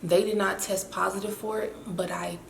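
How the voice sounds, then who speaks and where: A young woman with a raspy, winded voice, chatting in a rambling, self-mocking way to her camera. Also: medium pitch, fairly even intonation, normal speed. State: winded and hoarse after illness. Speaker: vlogger. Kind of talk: casual chat to camera. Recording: echoey room with faint hiss.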